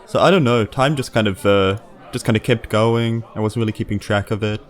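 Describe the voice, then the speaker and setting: A man's voice: lowish voice, fairly even intonation, fairly quick, a faint crowd, voice pushed down, natural voice. Man playing a hesitant, vague young-hearted wanderer. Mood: sheepish. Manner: hesitant, rambling. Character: shy, vague, unambitious wanderer who wants a quiet life.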